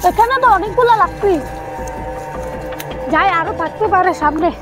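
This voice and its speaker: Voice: very high-pitched, normal speed, over music. A performer playing a woodcutter's child alone in the jungle in an amateur horror drama, muttering repetitively to themselves. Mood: searching. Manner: talking to self, repetitive.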